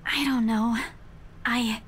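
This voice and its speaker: An actress playing a frightened, stubborn young woman in a visual novel, with a slightly husky voice. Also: fairly high pitch, fairly even intonation, slow, slight background noise. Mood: hesitant.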